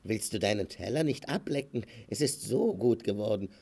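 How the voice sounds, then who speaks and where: A man's voice: fairly low pitch, animated, normal speed, darkish tone, breathy edge. A man voicing a sly fox in a fable, coaxing and mock-polite, playing the falsely generous host. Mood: smug politeness. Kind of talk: acted fable storytelling.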